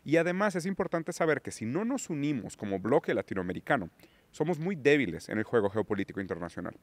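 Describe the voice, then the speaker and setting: A man's voice: medium pitch, sing-song, fast talker, no room sound. Man, Portuguese native, podcast guest lecturing on politics, dry close mic. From Brazil. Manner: lecturing, rising emphasis on key words. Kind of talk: political discussion.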